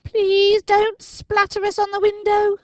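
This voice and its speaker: A woman voicing an excitable, frightened young boy in a storybook, with a stammer when he is scared. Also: very high voice, measured pace, dry recording, pitched above the performer's own voice. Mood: pleading.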